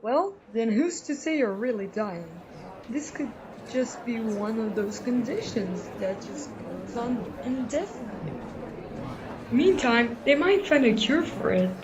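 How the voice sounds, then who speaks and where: A woman's voice: a little high, expressive, unhurried, lo-fi sound, noisy background. A woman voicing a reassuring, earnest seventh-grade boy in an audio drama. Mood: reassuring, reasoning.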